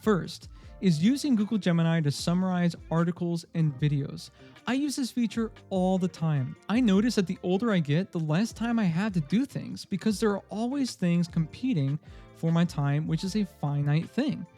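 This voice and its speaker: High, mellow-voiced man reviewing tech. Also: animated, moderate pace, soft background music. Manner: upbeat and emphatic, openly gushing. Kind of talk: scripted tech review voice-over.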